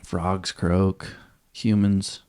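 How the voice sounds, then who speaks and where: A man's voice: low-pitched, little pitch movement, very slow, darkish tone, smooth. A young man co-hosting a podcast, chatting loosely and joking with plenty of swearing. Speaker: podcast co-host. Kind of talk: casual chat.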